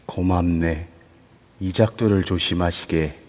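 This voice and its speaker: A man voicing the vengeful ghost of an old court noble, speaking slowly in deferential archaic speech. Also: sits low, steady tone, a little mellow. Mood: remorseful. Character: vengeful ghost of a Joseon noble, torn and grieving. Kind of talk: Korean fan audio drama acting. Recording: echoey long reverb.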